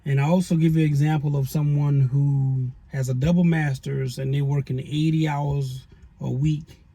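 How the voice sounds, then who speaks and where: A middle-aged man with a gravelly, husky voice gives relationship advice in a casual chat. His tone is very dark and a little high for a man. He talks folksy and preachy, speaking straight to the viewers as y'all. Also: flat delivery, moderate pace. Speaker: co-host of a relationship advice show.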